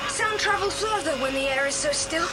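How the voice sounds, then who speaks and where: A woman playing a plucky, practical young boy in a children's audio adventure, brisk and exclamatory as the figure takes charge. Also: very high-pitched, expressive. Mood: urgent, commanding. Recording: noisy, reverberant old cassette dramatisation.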